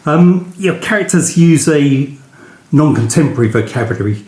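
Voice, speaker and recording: A man's voice: fairly high pitch, expressive, moderate pace, darkish tone. A man interviewing in a conversational literary style, with informal, tentative phrasing. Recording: echoey room sound.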